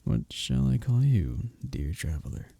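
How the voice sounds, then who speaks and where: Man playing a dark-voiced, breathy, flirtatious medieval innkeeper. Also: fairly low pitch, sing-song, a little slow, no echo, exaggerated voice. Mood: courteous, warm. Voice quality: breathy, soft. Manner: flirtatious, courtly, soft chuckles between phrases. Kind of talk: intimate ASMR roleplay with flowery old-fashioned speech.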